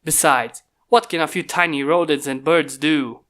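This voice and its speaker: A stern, commanding man, as a story reader plays him, an inventor directing his robot bodyguards. He speaks formally at a medium pitch, in the style of an audio storybook. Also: normal speed, fairly bright tone, natural voice. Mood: measured. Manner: stern, formal, giving orders.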